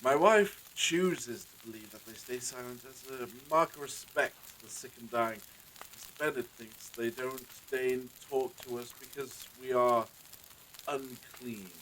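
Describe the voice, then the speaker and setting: A man's voice: medium pitch, unhurried, a bit bright, a little hiss or hum, close to an everyday voice, low-quality recording. An actor playing an anxious, courteous village husband and father in a period audio drama, with hesitant, formal old-fashioned phrasing. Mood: troubled.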